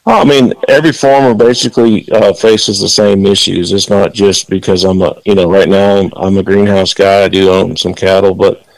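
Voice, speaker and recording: A man's voice: sits low, steady tone, normal speed, gravelly, few pauses, no echo, poor audio. A man from the Dominican Republic, a farmer guest on a radio interview, talking earnestly with frequent fillers.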